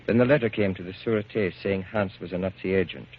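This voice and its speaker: An actor playing a cool, grave man with a measured, insinuating delivery in 1940s radio drama style. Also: low voice, lightly acted. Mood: coolly insinuating. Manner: measured, deliberate, coolly insinuating. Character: cool, grave man coldly revealing grim news. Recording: muffled, hissy 1940s radio recording.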